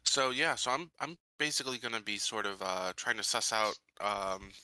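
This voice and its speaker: A man's voice: fairly low pitch, moderate pace, pushed and bright, no room sound. A man playing a tabletop roleplaying game, talking casually and a bit tentatively.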